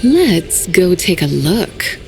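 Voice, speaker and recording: A woman's voice: on the low side, very animated, slow, faint music behind, heavily acted. A woman voicing a reserved, thoughtful woman in a game who is recalling a forgotten past. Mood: pensive. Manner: thoughtful, soft-spoken. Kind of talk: game voice acting.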